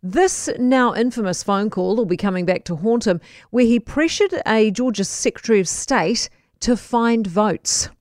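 A woman's voice: on the high side, unhurried, a little mellow, no room sound, studio quality. A woman with a New Zealand accent hosting a live radio news interview and putting questions to a guest.

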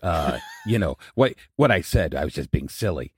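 Low-voiced, rambling male podcast co-host. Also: very animated, husky, no echo, studio quality. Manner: halting, rambling. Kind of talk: improvised comedy banter.

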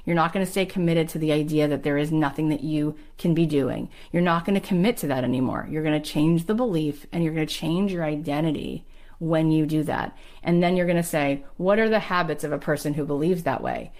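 Low-pitched woman giving an emphatic motivational talk. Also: fairly quick, darkish tone. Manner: emphatic, encouraging, repeats phrases for effect. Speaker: podcast host and motivational coach. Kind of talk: motivational coaching talk with personal anecdotes.

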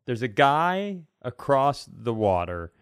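A man's voice: mid-range voice, sing-song, slow pace, clean tone. A middle-aged man co-hosting a comedy podcast, bantering and telling stories casually in a mock-earnest way. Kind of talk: casual comic banter and storytelling.

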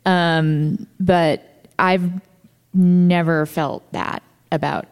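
A woman's voice: lowish voice, fairly even intonation, extremely slow, clear, resonant tone, in a large hall. A woman podcast host in casual conversation, thinking aloud with lots of fillers. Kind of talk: casual interview chat.

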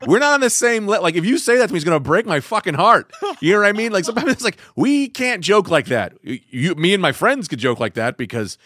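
A man's voice: high-pitched, fast talker, few pauses. A young man hosting a podcast in a studio, bantering casually and telling stories with lots of laughter. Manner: animated, laughing storyteller. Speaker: podcast host. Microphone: studio mic.

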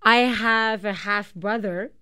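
Smooth-voiced woman guest. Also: a little high, no room sound. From Montreal, Canada. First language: French. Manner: animated, halting storytelling with many restarts and laughs. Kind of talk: casual radio chat. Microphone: studio microphone.

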